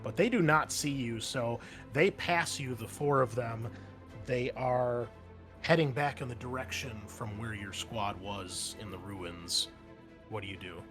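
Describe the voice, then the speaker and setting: A man with a medium-pitched voice runs a tabletop roleplaying session. He narrates and referees informally, talking players through the rules in an encouraging way. Faint music plays behind him. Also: unhurried, a bit bright. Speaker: game master.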